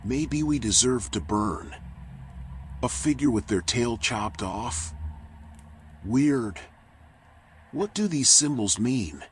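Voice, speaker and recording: A man's voice: mid-range voice, lively intonation, takes it slowly, long pauses, slight background noise. A man voicing a weary, self-loathing raccoon private eye in a noir video game, brooding and bitter, muttering with a whispery edge. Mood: gloomy, weary. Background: music.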